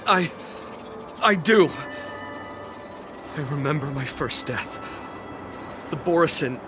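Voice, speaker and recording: An actor playing a weary, idealistic resistance fighter in a video game, speaking earnestly and with measured resolve. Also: male voice, a little high, animated, music in the background. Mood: pained, determined. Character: stranded male warrior, weary, steadfast, idealistic resistance fighter. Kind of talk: dramatic video game voice acting.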